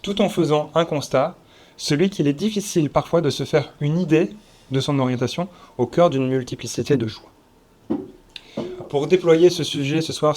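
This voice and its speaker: A man moderating a round table before an audience, speaking in a measured, slightly formal way with occasional fillers. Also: on the high side, a little mellow. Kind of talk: formal event introduction. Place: conference room with audience.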